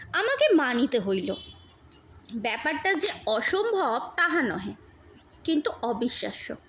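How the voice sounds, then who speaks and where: High-pitched woman narrating a story, unhurried. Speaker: audiobook narrator. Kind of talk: literary short story narration.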